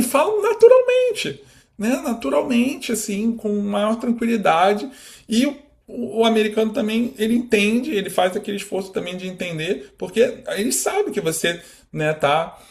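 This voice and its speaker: A Brazilian man in his thirties from Rio, a guest talking casually in an interview, animated and full of fillers. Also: on the high side. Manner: animated, quotes imagined speech, many fillers.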